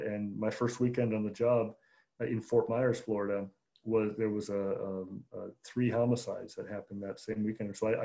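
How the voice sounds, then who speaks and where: Low-voiced journalist guest from Chicago, forties, flat and mellow delivery. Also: male voice, low-quality recording. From Chicago, United States. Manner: measured, many fillers and restarts. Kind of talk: thoughtful interview answers.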